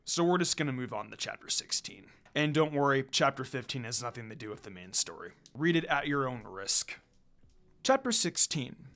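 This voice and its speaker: A man reading a fan fiction story aloud in an expressive narrating style. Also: mid-range voice. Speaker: fan fiction reader, channel host. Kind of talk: expressive scripted story narration with casual asides. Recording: music under the voice. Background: music.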